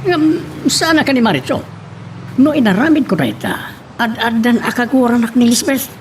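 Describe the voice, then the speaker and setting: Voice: mid-range voice, sing-song, normal speed, natural voice. A performer playing a parent in an Ilocano romantic family audio drama. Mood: defiant. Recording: noticeable background noise.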